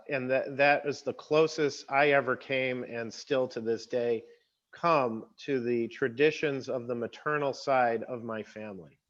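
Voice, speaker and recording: A man sharing a personal family reminiscence in a deliberate way with hesitant pauses, as if partly reading aloud. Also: mid-range voice, muffled recording. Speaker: participant sharing a family memory.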